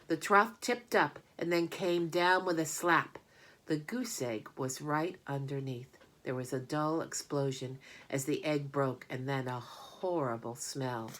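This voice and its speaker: A woman with a low voice reads a children's storybook aloud. Her delivery is scripted narration with plenty of expression. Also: steady tone, measured pace, fairly bright tone.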